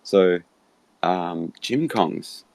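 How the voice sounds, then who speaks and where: A man's voice: deep voice, animated, drawn-out words, a little mellow, dry recording. An Australian man from Sydney hosting an online audio room, chatty and easygoing, laughing easily. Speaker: host. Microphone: online voice call.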